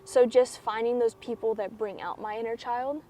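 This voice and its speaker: A woman's voice: on the high side, moderate pace, warm tone, a little hiss or hum. Reflective teenage girl, filler-heavy speech. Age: teens. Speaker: student athlete guest. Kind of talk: candid interview answers. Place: school campus, indoors.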